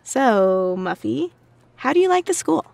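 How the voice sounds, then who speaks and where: A woman's voice: high voice, big pitch swings, unhurried, gravelly, darkish tone, heavily acted. An actress playing a chatty, uneasy teenage girl in an audio drama, talking in a casual, wry, questioning way. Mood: uneasy, curious.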